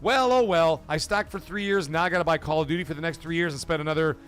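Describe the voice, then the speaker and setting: A man hosting a casual live commentary stream, talking to his chat in an animated, profane way full of rhetorical questions. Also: on the high side, fast talker, faint music behind. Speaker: livestream host.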